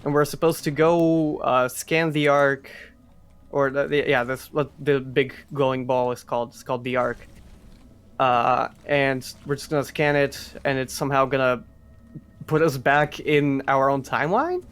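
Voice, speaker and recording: A man's voice: medium pitch, fairly even intonation, moderate pace, fairly bright tone, clean tone. A man giving casual live commentary on a speedrun, explaining as he goes in a hesitant, restarting way.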